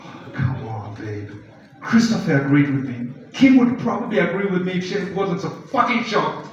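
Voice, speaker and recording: An actor playing a tipsy lawyer husband on a live stage, pleading with his wife and shouting and swearing as they argue. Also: high voice, lively intonation, normal speed, slight background noise. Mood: arguing. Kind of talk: live stage play acting. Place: theatre stage. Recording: echoey hall, far mic, dull sound.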